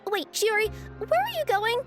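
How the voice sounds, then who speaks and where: A young female courier from a video game, with a very high, girlish voice. She sounds polite, earnest and fretful, hesitating as she explains. Music plays clearly behind her. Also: sing-song, normal speed. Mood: alarmed. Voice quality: youthful, girlish. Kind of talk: video game character acting.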